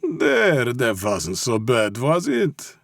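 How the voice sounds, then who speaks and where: A man's voice: medium pitch, huge pitch swings, slow pace, gravelly, a bit bright, no echo, clean studio sound, voice pushed up, heavily acted. A man voicing a suave Russian mob boss in an audiobook, with a clipped accent and pleasant, condescending politeness. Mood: menacing calm. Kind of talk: audiobook character acting.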